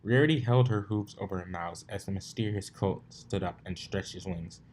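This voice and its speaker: Male amateur story narrator with a lowish voice, rereading stumbled phrases, noticeable noise on the recording. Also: moderate pace, darkish tone. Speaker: fanfiction reader and narrator. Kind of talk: story narration, read aloud.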